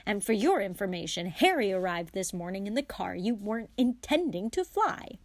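A woman voicing a furious, sharp-tongued middle-aged witch who scolds her husband, as an audiobook character. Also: medium pitch, big pitch swings, moderate pace, no room sound. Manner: scolding, shouting, sarcastic.

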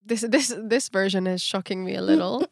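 A woman's voice: mid-range voice, normal speed, gravelly, dry recording, studio quality. A woman co-hosting a Bible study podcast, talking about faith in a casual, conversational way. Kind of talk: casual conversational religious discussion.

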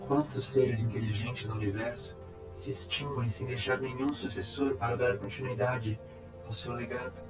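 A man playing a calm, courteous robot time traveler in an audio drama, speaking in formal, measured reports. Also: mid-range voice, close to an everyday voice. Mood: gently consoling. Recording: echoey, dull amateur sound, music behind.

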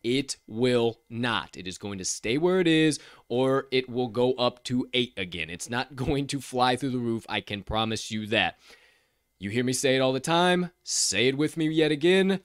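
A man's voice: mid-range voice, animated, moderate pace, smooth, no room sound, studio quality. A man co-hosting a sports betting show, giving picks in an emphatic, preachy way and repeating catchphrases. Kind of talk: energetic betting picks chat.

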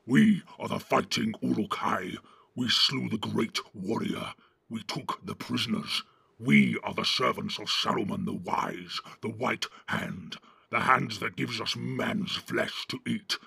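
A man voicing a commanding, boastful big orc captain in a deep, blunt growl for an audiobook. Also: unhurried, creaky, pushed and bright, breathy edge, dry recording, heavily acted. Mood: boastful, scornful. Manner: blunt, domineering. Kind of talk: audiobook character voice.

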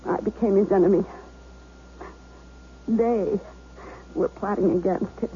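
An actress playing a policeman's battered, desperate wife held hostage, in intense 1970s radio drama style with emphatic pauses. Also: mid-range voice, fairly even intonation, close to an everyday voice. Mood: anguished. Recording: dull, faintly noisy 1970s radio recording.

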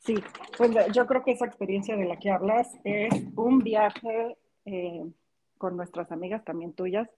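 Guest: woman in her 40s, mid-pitched, chatty storyteller with fillers. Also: a little slow, noisy background. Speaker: podcast guest. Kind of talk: casual storytelling.

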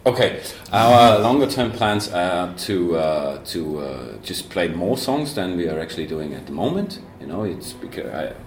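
A man with a very deep, low voice talks in a casual, halting way, full of fillers and restarts and the odd joke. The sound is roomy, with faint ambience of a coffee room behind him. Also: big pitch swings, slight background noise. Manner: hesitant, many fillers and restarts, joking. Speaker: band member and guest. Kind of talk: casual interview chat. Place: university student coffee room.

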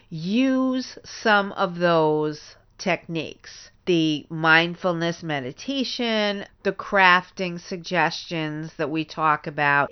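A woman's voice: fairly low pitch, clean tone, dull audio. A woman hosting a solo podcast, explaining advice informally and speaking directly to her listeners. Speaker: podcast host; grief therapist and author.

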